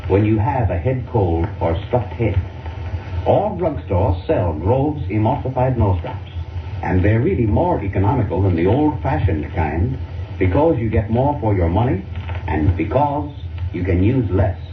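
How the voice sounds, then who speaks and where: A deep-leaning man reads a vintage radio advertisement to children with an emphatic, instructive sales delivery. His intonation rises and falls widely. The old recording is thin and narrow, with hiss behind him. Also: very animated, measured pace, distant mic. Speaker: radio commercial announcer. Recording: muffled, echoey, noisy vintage radio recording.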